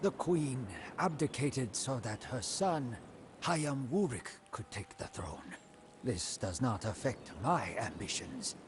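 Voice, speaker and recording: A man's voice: medium pitch, lively intonation, slight background noise. An actor playing an ambitious, scheming old prime minister, solemnly and theatrically narrating his life for a storybook game campaign. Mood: brooding, determined. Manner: solemn, theatrical, deliberate, with hushed line endings.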